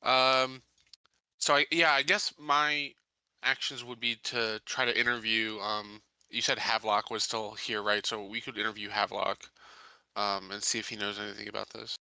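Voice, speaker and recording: Very bright, forceful-voiced male gamer. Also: lowish voice, level tone, moderate pace, vocal fry, clear, resonant tone, no room sound, poor audio. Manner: hesitant, thinking aloud. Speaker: tabletop game player. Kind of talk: casual game chat.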